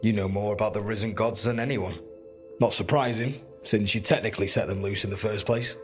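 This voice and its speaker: A man plays a weary resistance fighter from a fantasy game, dry and wry. His voice is fairly low, with soft music in the background. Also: steady tone, a little fast, natural voice.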